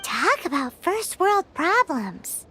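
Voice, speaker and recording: A woman voicing a small, excitable, cheeky fairy companion in a video game, with a squeaky childlike voice and a chirpy manner. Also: takes it slowly, slight background noise, heavily acted. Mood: dry, cheeky. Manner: refers to herself in third person, chirpy. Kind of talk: video game character acting.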